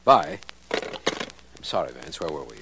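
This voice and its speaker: A man's voice: extremely low pitch, sing-song, takes it slowly, gravelly, noisy background, heavily acted. A man playing a busy, businesslike district attorney in an old-time radio crime drama.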